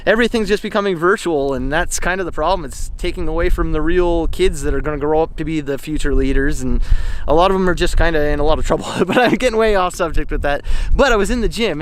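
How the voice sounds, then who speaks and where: A man with a slightly high voice hosts an informal vlog on foot. He talks in a loose, wandering way, thinking out loud as he goes. The recording carries noticeable outdoor ambient noise behind his voice. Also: rough recording. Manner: digressive, informal, thinking aloud. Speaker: vlog host. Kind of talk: casual rambling walk-and-talk monologue. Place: outdoors, on a walk.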